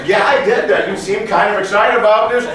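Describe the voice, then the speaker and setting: A man's voice: high-pitched, fairly even intonation, brisk, fairly bright tone, pitched above the performer's own voice. A man playing a scheming corporate finance chief in a comedy skit, chummy and coy, hinting hesitantly and feigning innocence. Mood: coy, tempting. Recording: echoey room, distant mic, background noise.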